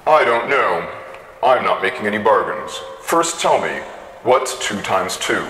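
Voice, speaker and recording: A man's voice: on the low side, sing-song, measured pace, bright, forceful tone, echoey room, heavily acted, rough recording. Man voicing a blustering, stupid giant machine. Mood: bullying. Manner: blustering, demanding. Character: gigantic stupid, stubborn, bullying male thinking machine.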